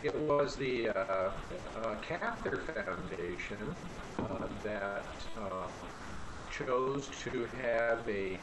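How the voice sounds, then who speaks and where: A middle-aged man speaking haltingly, with frequent pauses, as a guest in an informal webinar discussion. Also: fairly low pitch, lively intonation. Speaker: library director, panel guest. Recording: noisy webinar audio.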